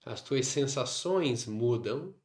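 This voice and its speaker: A man with a Brazilian Portuguese accent leading a guided meditation, instructing in short phrases separated by long pauses. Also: medium pitch, animated, a little mellow. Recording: roomy, echoing sound.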